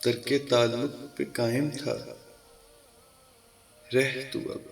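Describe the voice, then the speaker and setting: A man reciting his own ghazals as a poet, in a declamatory, deliberate manner, repeating lines with pauses. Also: medium pitch, little pitch movement, far from the mic. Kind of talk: slow Urdu poetry recitation. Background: music.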